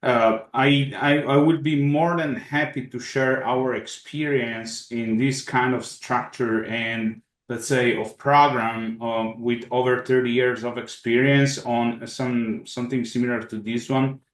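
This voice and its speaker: A man's voice: medium pitch, fairly even intonation. Eager Italian-born man in an echoey room. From Italy. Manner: eager, halting with restarts. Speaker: caving club chair. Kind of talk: video call question and answer.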